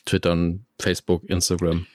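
A low-voiced male host running a casual interview, relaxed and jokey, filling the gaps with quick murmured agreements. The recording is close and dry. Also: dry recording. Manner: relaxed, quick backchannels, joking.

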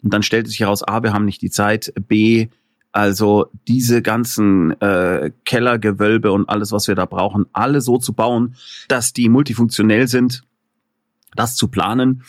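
A man's voice: fairly low pitch, little pitch movement, normal speed, no echo, clean studio sound. A man being interviewed in casual chat, telling stories animatedly with many fillers and laughs. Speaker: interview guest, filmmaker.

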